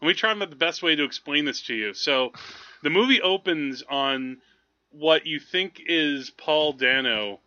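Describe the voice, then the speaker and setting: A man's voice: a little high, animated, unhurried, pushed and bright, highs cut off. A man co-hosting a podcast, chatting casually about films in a rambling, enthusiastic way. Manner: rambling, enthusiastic explaining, frequent "like". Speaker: podcast co-host. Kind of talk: casual film chat.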